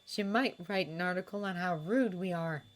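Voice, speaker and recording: A woman's voice: fairly low pitch, normal speed, natural voice. A woman voicing an anxious, polite teenage girl. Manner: anxious and hesitant.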